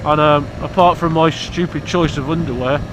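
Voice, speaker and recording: A man's voice: on the high side, fairly even intonation, moderate pace, noisy background. A British man, stuffy from hay fever, chatting casually to camera about his motorbike outdoors in a blunt, cheeky, rambling way. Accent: British English. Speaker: motorcycle vlogger.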